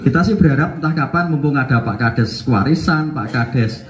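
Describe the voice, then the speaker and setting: A man's voice: mid-range voice, normal speed, crowd noise. A male talk-show host from Central Java who listens attentively, agrees often and sums up his guest's points. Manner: attentive, frequent short agreements, summarizing points. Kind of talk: moderating a conversation. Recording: echoey room.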